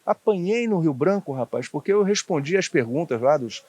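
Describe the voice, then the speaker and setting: A man in his sixties from Bahia with a faded Bahian accent, telling anecdotes in a relaxed, chatty interview and laughing often. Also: a little high, normal speed, no echo. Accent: faded Bahian Brazilian Portuguese. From Bahia, Brazil. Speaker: guest, former diplomat.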